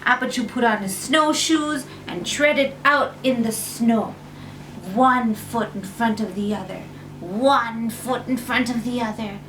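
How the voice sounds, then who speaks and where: A woman's voice: a little high, slow, far from the mic, crowd noise, rough recording. A woman narrating a bedtime story for small children, repeating phrases for effect.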